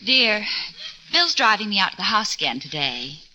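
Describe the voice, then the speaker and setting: A wry wife narrating. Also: female voice, medium pitch, big pitch swings, moderate pace, sharp tone, low-quality recording. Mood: patient. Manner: wry, storytelling. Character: wry wife narrating her family's house-building saga. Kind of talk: 1940s radio acting. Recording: old radio broadcast with music.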